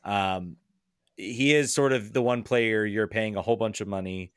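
A man with a fairly low voice talks through soccer analysis in a casual way. He is hesitant, often thinking aloud and hedging, and the sound is dry and close. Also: normal speed, clean tone, no room sound. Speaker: podcast guest, soccer analyst. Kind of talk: casual sports discussion.

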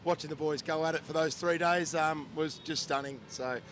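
A man, a co-host in a casual podcast chat, a little hoarse from days of shouting and eager to rattle off numbers. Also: on the high side, steady tone, moderate pace, a little hiss or hum. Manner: reels off stats, enthusiastic.

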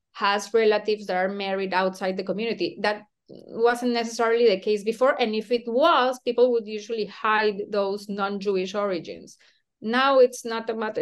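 A woman from Peru, an author interviewed about her book, speaking thoughtfully with frequent restarts, hedges and soft laughs. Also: mid-range voice. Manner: hesitant, self-questioning, with many restarts and soft laughs. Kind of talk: thoughtful academic conversation.

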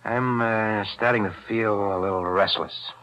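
A hard-bitten killer, played by a man, talks with cool, menacing calm in streetwise slang. His low voice carries a sharp, bright edge. It comes through as an old radio drama broadcast. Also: moderate pace, dull audio, lightly acted. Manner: tough streetwise slang, coolly threatening. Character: menacing male crook, cold killer. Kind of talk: 1940s radio acting.

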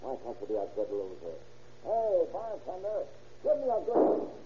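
A man's voice: on the high side, huge pitch swings, normal speed, dark tone. An actor playing a cocky, dismissive American man in a saloon in an old radio drama. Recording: tinny, faintly hissy old radio recording.

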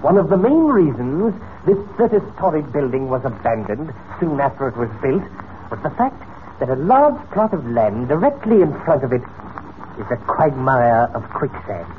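An actor playing a smug, menacing criminal mastermind in 1940s radio style, oily, theatrical and condescending, on a tinny, noisy old recording. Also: male voice, medium pitch, sing-song, moderate pace, close to an everyday voice. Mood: menacing boasting. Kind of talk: 1940s radio serial acting.

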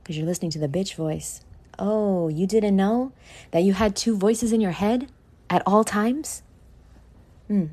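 A woman hosting an informal motivational podcast, brash and sweary, talking straight at the listener with dramatic, drawn-out emphasis. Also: medium pitch, dark tone. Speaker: podcast host. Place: at home in a condo.

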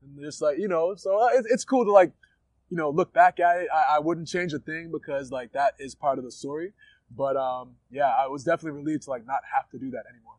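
A man in his thirties from Ghana talks casually in a dry, close-miked interview. His voice sits a bit high for a man, and he keeps dropping "like" and "you know" between his thoughts. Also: fast, a little mellow, no room sound. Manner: easygoing, lots of "like" and "you know" fillers, laughs often. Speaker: wrestler being interviewed.

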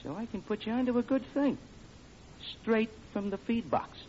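An actor playing a sly, slangy racetrack tout, wheedling in an old radio comedy. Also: male voice, very high voice, moderate pace, a little mellow. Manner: conspiratorial, wheedling. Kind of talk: 1940s radio comedy acting. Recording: muffled old radio recording with noise.

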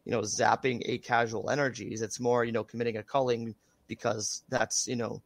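A man speaking as a researcher guest in a casual interview, his voice raspy from being sick, hesitant with many fillers. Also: medium pitch, little pitch movement, moderate pace, dry recording.

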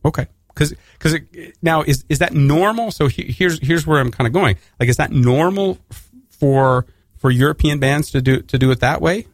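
A man's voice: on the high side, normal speed, no echo, studio quality. A Canadian man hosting a casual radio interview, rambling with many restarts and fillers. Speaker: radio host.

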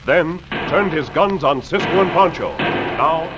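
A man's voice: fairly high pitch, animated, unhurried. An American man announcing a radio adventure serial in a dramatic 1950s style. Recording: muffled old radio broadcast. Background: music.

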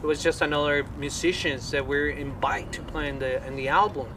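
A man's voice: a little high, fairly quick, far from the mic. A man from Colombia, a Spanish speaker, telling stories casually with slang and swearing as an interviewed musician. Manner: animated storytelling with profanity and slang. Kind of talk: casual chat. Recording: noisy outdoor recording, traffic, off mic.

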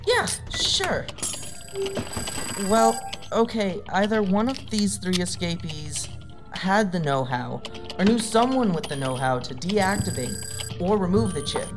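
A woman's voice: mid-range voice, animated, slow pace. A woman playing a federal technical analyst in an audio drama, with a wry, rambling, informal way of talking. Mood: wry, businesslike. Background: music bed.

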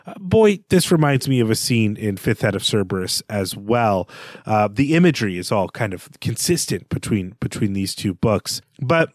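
A man co-hosting a literary podcast, talking through a novel in a measured, analytical way. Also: lowish voice, big pitch swings, no echo, studio quality. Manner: measured, analytical, slowing for read-aloud passages. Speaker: podcast co-host. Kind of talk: casual literary discussion.

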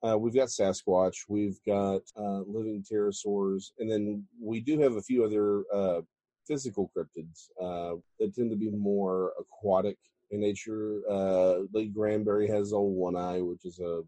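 A man's voice: lowish voice, fairly even intonation, unhurried, mellow, no echo, poor audio. A man, a guest author talking casually in an interview and building up with emphasis.

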